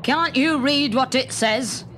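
Female reader as storybook male shopkeeper, very slow emphatic delivery, stern, hostile, faint noise behind. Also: sits high, a bit bright. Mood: hostile, contemptuous.

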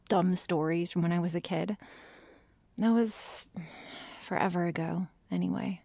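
A woman playing a prickly, teasing vampire girl who feigns indifference, speaking softly in an intimate ASMR roleplay. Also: on the low side, creaky, no echo. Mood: wistful, reluctant. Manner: sardonic, hesitant, feigning indifference.

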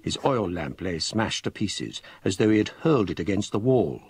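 An actor playing a scholarly gentleman narrating his ghost story in measured, grave, literary style. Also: on the low side, sing-song. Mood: grave, haunted. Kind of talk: dramatic scripted narration.

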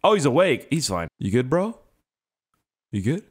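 A young man with a hoarse, strained voice giving casual, profane, self-mocking commentary while playing a game. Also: mid-range voice, sing-song, normal speed. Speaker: gaming YouTuber. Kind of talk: casual let's-play commentary.

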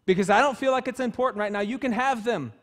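High voiced guy in his 30s preaching, fired up, small church, mic a bit off. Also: quick pace. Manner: passionate, emphatic, gesturing freely while preaching. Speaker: pastor. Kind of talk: sermon. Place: small church stage with wood-panel walls.

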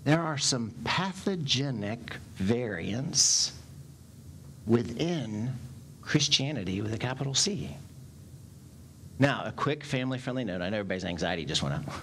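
A man in his forties, a pastor preaching a sermon in a church sanctuary on a headset mic, animated and gesturing as he speaks. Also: mid-range voice, normal speed, a little hiss or hum.

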